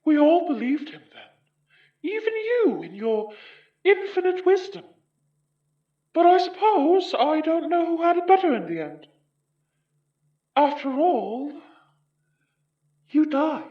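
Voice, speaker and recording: A woman's voice: sits high, expressive, slow, long pauses, recorded from a distance. A woman playing an arrogant, mocking aristocratic spirit with a British accent in a horror audio drama, pompous and taunting. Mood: bitter musing. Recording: dull, roomy, off the mic.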